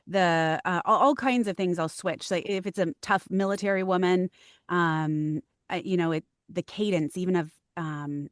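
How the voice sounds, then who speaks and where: A woman's voice: fairly low pitch, no echo, clean studio sound. A woman voiceover actress, a podcast guest, talking through her craft in casual interview chat, thoughtful, with many ums.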